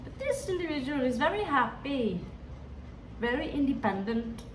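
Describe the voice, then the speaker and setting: A woman's voice: high-pitched, big pitch swings, normal speed. A woman with a Hindi-influenced accent, giving a tarot reading to her viewers in a musing, hesitant way that often addresses them directly. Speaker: tarot reader. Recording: echoey room, distant mic, background noise.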